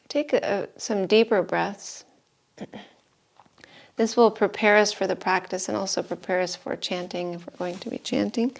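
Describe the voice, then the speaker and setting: A woman teaches yoga, guiding breath and relaxation at a very slow pace. Her voice sits a little low and has plenty of creak. She is soothing and leaves long pauses between short instructions. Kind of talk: guided meditation and breathing instruction. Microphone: live video call stream.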